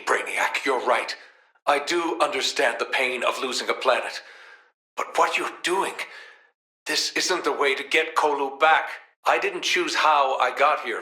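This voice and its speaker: A bright, forceful superhero. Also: male voice, a little high, husky, no echo, full character voice. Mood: earnest, serious. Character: powerful male superhero, once kindly, now menacing. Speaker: video game voice actor. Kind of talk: acted video game voice lines.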